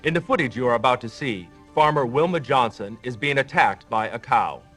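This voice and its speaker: An actor playing a local TV news anchor, reading a scripted report in a formal, measured way. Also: male voice, medium pitch, expressive, a bit bright, faint music behind. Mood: solemn, formal. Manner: formal, measured newsreader delivery.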